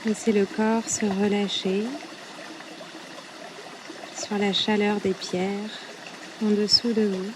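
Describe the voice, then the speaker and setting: Slow, even-voiced woman guiding meditation by a river. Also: medium pitch, noisy background. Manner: unhurried, soothing, long pauses between short phrases. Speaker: yoga nidra guide. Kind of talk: guided meditation. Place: outdoors at a riverbank. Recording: outdoor recording with loud running river water. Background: flowing, trickling stream water.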